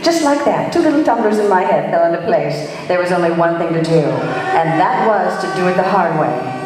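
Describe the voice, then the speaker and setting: A woman's voice: fairly low pitch, noisy background. Woman playing hard-boiled lady detective, echoey hall. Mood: brooding, resolute. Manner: hard-boiled, wry, slangy. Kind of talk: 1940s radio detective play acting. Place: live stage performance in a large room. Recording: echoey hall, recorded from far off.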